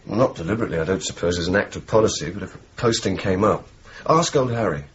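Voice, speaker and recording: Radio drama: a man playing a blunt young wartime RAF officer, low voice, dry and sardonic, muffled noisy recording. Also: quick pace, lightly acted. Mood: wry. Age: young adult. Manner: dry, sardonic, sweary, decisive. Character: blunt, cynical young male RAF officer, wryly decisive. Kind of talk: radio drama acting, dialogue and narration.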